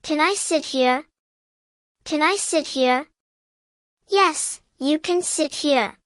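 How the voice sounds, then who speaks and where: A woman narrating a scripted beginner language drill in careful, measured, simple phrases, repeating each sentence. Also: high-pitched, fairly bright tone, no echo, clean studio sound. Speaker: English teacher narrator.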